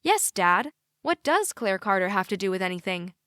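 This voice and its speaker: A woman's voice: mid-range voice, very animated, moderate pace, bright, forceful tone, no echo, clean studio sound. A woman voicing an indignant, headstrong teenage daughter in an audiobook, emphatic and challenging. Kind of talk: audiobook character dialogue.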